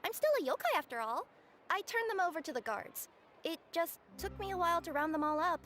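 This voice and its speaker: Young female yokai courier, high-pitched, earnest, flustered, apologetic, anime game acting. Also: lively intonation, brisk, a bit bright. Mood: reassuring.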